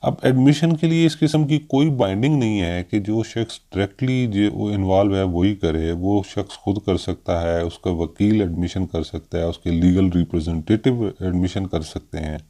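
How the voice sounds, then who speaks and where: A man with a Pakistani accent giving an explanatory legal lecture, haltingly and with frequent hesitations, mixing in English terms. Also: low voice, mellow. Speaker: law lecturer.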